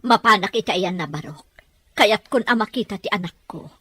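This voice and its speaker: A woman playing an anxious, longing mother with a husky voice in an Ilocano radio drama. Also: mid-range voice, animated, normal speed, a bit bright, no echo. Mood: anxious, insistent.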